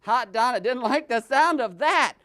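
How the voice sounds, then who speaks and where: A man narrates a comic puppet story with big swings in pitch. He is theatrical and mocking, and sounds as if he is making it up as he goes. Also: animated, normal speed, very noisy. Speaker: host and puppeteer.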